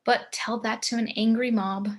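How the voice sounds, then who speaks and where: Gothic matriarch, a woman's read, fairly high voice, composed, stately, devoted and darkly dramatic. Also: steady tone, normal speed, natural voice. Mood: grave, proud. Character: elegant macabre matriarch, devoted, grandly morbid wife. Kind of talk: script table read, character acting.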